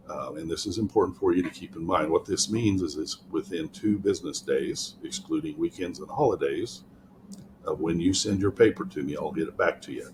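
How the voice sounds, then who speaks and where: An older man, a college writing tutor, walks through an online tutoring tool from his office, explaining in a relaxed way with frequent ums. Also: deep voice, a little hiss or hum. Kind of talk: screen-share tutorial walkthrough. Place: office, indoors.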